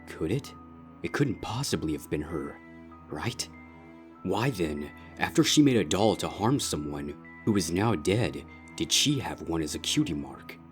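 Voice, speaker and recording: A man's voice: low voice, sing-song, a little slow. A man reading scripted dramatic fiction as the narrator. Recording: music under the voice.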